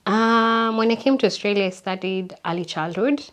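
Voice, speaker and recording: A young woman telling her life story in an interview, reflective and unhurried, often repeating words for emphasis. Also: medium pitch, clean tone. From Kenya. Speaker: interview guest.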